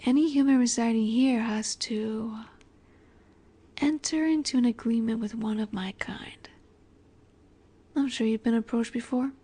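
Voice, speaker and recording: Soft, slow-speaking vampire seductress, acted by a woman. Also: a little high. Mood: coaxing, earnest. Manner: soft, breathy, measured, often dropping to a whisper. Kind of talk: intimate scripted audio roleplay.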